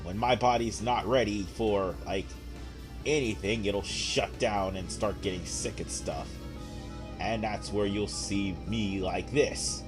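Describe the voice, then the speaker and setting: A young man with a hoarse voice from a cold, giving rambling, laid-back commentary while he plays a game. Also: on the low side, steady tone, slow. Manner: rambling, laid-back, frequent fillers and throat clearing. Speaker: gameplay commentator. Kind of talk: casual let's play commentary. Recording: game music playing behind.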